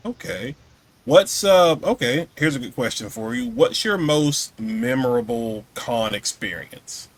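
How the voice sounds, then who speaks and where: A man's voice: medium pitch, very animated, measured pace. Man hosting a casual interview. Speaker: podcast host, interviewer.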